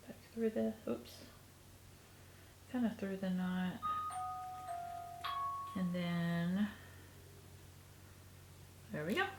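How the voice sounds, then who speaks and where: Soft-spoken woman, gravelly and sniffly. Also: lowish voice, background noise. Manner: soft, musing, often trailing into a half-whisper. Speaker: crafter showing a stitching project. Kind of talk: casual crafting tutorial. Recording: echoey room recording.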